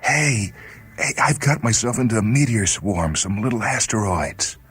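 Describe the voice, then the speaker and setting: A man voicing an awestruck, doomed spaceman, breathy and halting, in a dramatic story reading. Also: lowish voice, animated, fairly bright tone, pitched above the performer's own voice. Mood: awed, dazed. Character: awestruck male spaceman drifting away to his death.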